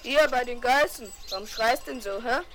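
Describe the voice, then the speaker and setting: A woman's voice: a little high, normal speed, music in the background, lightly acted. A woman playing a blunt, rustic young goatherd boy with a southern German accent in a 1970s children's radio play. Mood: eager, practical. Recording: noisy 1970s vinyl record.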